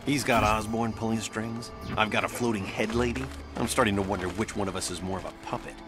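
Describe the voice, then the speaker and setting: Man acting a low, brooding masked vigilante. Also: steady tone, fairly quick, music in the background, close to an everyday voice. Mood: wry brooding. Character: brooding young male masked vigilante hero, wry and indignant. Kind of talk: film noir video game acting.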